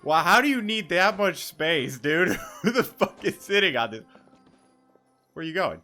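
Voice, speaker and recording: A man's voice: high-pitched, big pitch swings, a little slow, bright, forceful tone, soft background music. A young man giving casual let's play commentary, slangy, sweary and joking. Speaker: gaming YouTuber.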